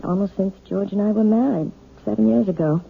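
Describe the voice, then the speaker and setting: An actress voicing a fearful, gentle woman piano teacher in an old radio drama, speaking hesitantly and evasively. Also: mid-range voice, fairly quick, creaky, husky. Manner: hesitant, evasive, softly reproving. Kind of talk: 1970s radio acting. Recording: tinny, phone-like old radio recording.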